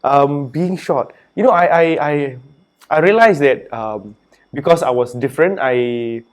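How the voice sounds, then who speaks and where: A young adult man from Singapore, an author and entrepreneur speaking as a guest in a casual interview, relaxed and chatty, often saying "you know" and "man". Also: medium pitch, measured pace.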